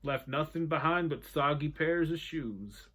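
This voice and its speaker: A man voicing a genteel older sea captain with a dry, wry wit, telling tall tales slowly. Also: a little high, pushed and bright, voice pushed up.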